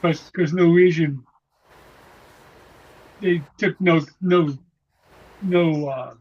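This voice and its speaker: A man's voice: fairly high pitch, steady tone, very slow, slight background noise. A man recovering from an illness who chats casually and jokingly over a video call, stumbling and restarting his words. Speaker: club member. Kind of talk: casual chat. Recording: dull lo-fi call audio.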